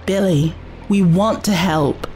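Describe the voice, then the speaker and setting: A woman's voice: fairly low pitch, takes it slowly, darkish tone, slight background noise. A woman playing a caring, bold young companion to an adventurer, earnest and coaxing, in an audio drama. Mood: gentle, earnest.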